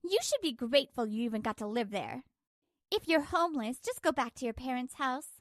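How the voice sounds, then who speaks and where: Woman acting a high-voiced, fed-up wife. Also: animated, fairly quick, no echo, clean studio sound. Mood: scornful. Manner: cutting, resolute. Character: fed-up working wife, firm and indignant.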